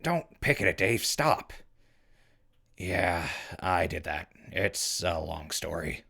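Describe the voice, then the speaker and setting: Male actor as wasteland radio survivor: low, slightly gravelly, casual, dryly sarcastic, naturalistic. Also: sing-song, slow pace, pushed and bright, heavily acted. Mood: weary, matter-of-fact. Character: wry, weary male wasteland survivor running a radio station. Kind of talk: naturalistic audio drama acting.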